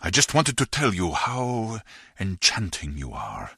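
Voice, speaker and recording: Husky-voiced cheeky ladies' man. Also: low-pitched, huge pitch swings, measured pace, pushed and bright, dry recording, studio quality, exaggerated voice. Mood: tender, poetic. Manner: cheeky, theatrical. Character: lustful henchman turned flowery would-be romantic.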